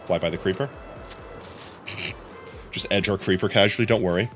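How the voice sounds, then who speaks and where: A young adult man streaming a game live, chatting casually with his viewers in a hoarse, rambling way. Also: low-pitched, moderate pace, a bit bright, faint music behind. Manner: chatty, rambling, often addressing chat.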